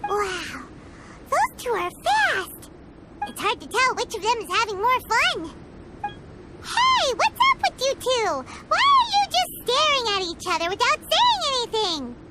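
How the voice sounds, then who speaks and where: A woman voicing a tiny, excitable, chatty fairy companion in a video game, with a squeaky childlike voice. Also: a little slow, very noisy, exaggerated voice. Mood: surprised, teasing. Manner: refers to self in third person, exclamatory. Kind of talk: English game voice acting. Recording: loud game sound behind voice.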